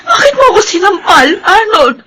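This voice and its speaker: An actress playing a hurt but principled pregnant young wife in a Philippine radio soap. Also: female voice, very high-pitched, very animated, normal speed, sharp tone, slight background noise, heavily acted. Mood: hurt, tearful anger.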